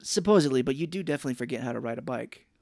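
A man's voice: mid-range voice, expressive, creaky, a little mellow, no room sound, clean studio sound. A man co-hosting a podcast, recapping a film in casual chat and joking as he retells scenes. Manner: joking, recaps scenes with quick put-on quotes. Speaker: podcast co-host.

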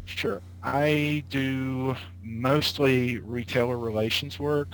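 A man's voice: medium pitch, fairly even intonation, slow pace, slight background noise, poor audio. A man in his late thirties, a guest chatting casually over an internet call, rambling with lots of filler words and restarts. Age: late 30s. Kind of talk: casual conversation.

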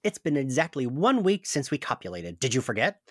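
A woman's voice: rumbling low, sing-song, a little fast, breathy edge, dry recording, exaggerated voice. A woman acts out a needy young ex-demon with no tact. The intonation leaps around while the words come out blunt and literal. It is a comedy script read, recorded very dry. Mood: hopeful. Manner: blunt, insistent.

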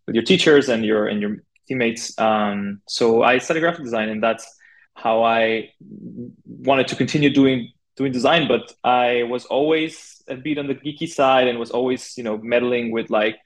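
Informal, halting man from Argentina. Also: medium pitch, poor audio. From Argentina (Buenos Aires). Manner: informal, halting, peppered with 'like' and 'you know'. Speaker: podcast guest, designer. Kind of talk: casual conversational interview, telling own career story.

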